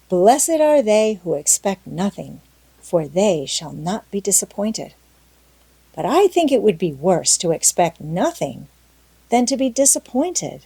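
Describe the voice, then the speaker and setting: A woman's voice: mid-range voice, dark tone, husky, no room sound, full character voice. A woman voicing a talkative, dreamy young orphan girl in an audiobook, gushing earnestly and dramatically. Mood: earnest, eager. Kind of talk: audiobook character acting.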